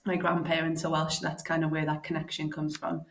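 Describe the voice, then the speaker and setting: Low, flat-voiced Liverpool woman. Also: fairly quick. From Liverpool, England. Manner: chatty, many restarts and fillers. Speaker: guest, basketball player. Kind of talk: casual interview chat. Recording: echoey room.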